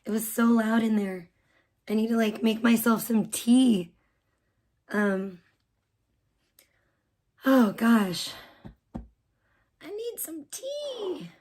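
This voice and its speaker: A woman live streamer, hoarse and losing her voice, chatting bubbly and rambling with her viewers while making tea in her kitchen. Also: on the high side, lively intonation, extremely slow, pauses often, darkish tone. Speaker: live streamer greeting chat. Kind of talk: casual live stream chat.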